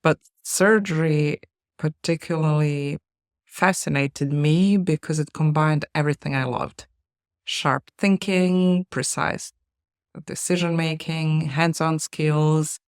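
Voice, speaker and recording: Woman surgeon, smooth voice. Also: deep voice, fairly even intonation, darkish tone, no echo, clean studio sound. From Bosnia. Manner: measured, earnest, humble. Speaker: surgeon, interview guest. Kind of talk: reflective interview answers.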